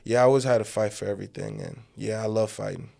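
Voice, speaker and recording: A man's voice: fairly low pitch, steady tone, fast, gravelly, darkish tone. A young man in his twenties, a guest, from Uganda, talking easygoing and loose with lots of "you know" fillers. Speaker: guest, amateur fighter. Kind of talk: casual interview chat.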